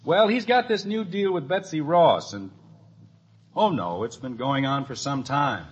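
An actor playing a wisecracking, easily exasperated father in a 1950s radio family sitcom, with a wry, animated delivery. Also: fairly high pitch, measured pace. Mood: chatty. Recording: noisy, muffled old radio broadcast.

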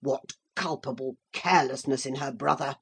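A woman's voice: very deep voice, moderate pace, a bit bright, breathy edge, pitched below the performer's own voice, full character voice. A woman doing a pompous, blustering old magistrate. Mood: disapproving. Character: pompous elderly gentleman magistrate.

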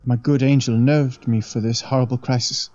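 A man's voice: medium pitch, steady tone, moderate pace, dark tone, natural voice. A man as a shaken young man recounting a ghost. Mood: haunted. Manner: earnest, solemn, dramatic. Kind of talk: audiobook reading. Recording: dull lo-fi dry recording.

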